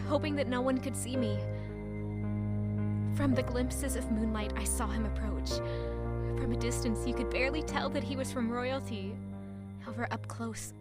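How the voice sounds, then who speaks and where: A woman's voice: on the high side, close to an everyday voice. A woman playing a romantic, curious young woman in an audio drama, narrating and speaking with a playful sense of wonder. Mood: hushed, dreamy. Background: faint music.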